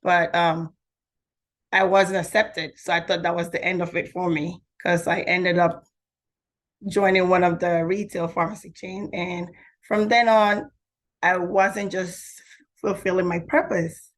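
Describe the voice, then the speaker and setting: Woman with a lowish voice. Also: fairly even intonation, a little slow. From Nigeria. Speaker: podcast guest, pharmacist. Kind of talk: conversational interview answers.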